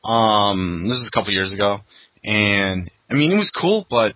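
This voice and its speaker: A man's voice: on the low side, sing-song, moderate pace, bright, forceful tone, dry recording, low-quality recording. A man hosting a casual podcast chat, telling rambling anecdotes and laughing often. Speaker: podcast host.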